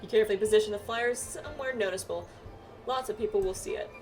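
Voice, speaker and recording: A woman's voice: medium pitch, fast, a little mellow, rough recording. A woman chatting casually through a game playthrough, reading the on-screen text aloud and stumbling now and then. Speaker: game streamer doing a playthrough. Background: game music.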